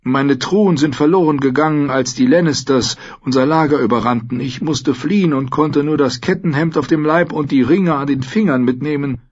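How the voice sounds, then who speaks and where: A man's voice: on the high side, moderate pace, hardly any pauses, slightly rough, highs cut off, pitched above the performer's own voice. A man voicing a desperate, haughty elderly nobleman prisoner in an audiobook, pompous and pleading. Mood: wounded, pompous. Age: older. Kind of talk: audiobook character acting in a fantasy novel.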